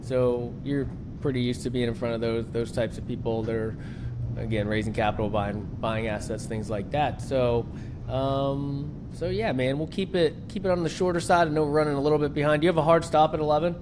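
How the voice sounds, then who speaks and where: A man's voice: medium pitch, brisk. A man from West Virginia hosting a podcast, chatting in an upbeat, friendly, casual way. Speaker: podcast host. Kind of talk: casual pre-interview chat. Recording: steady background noise.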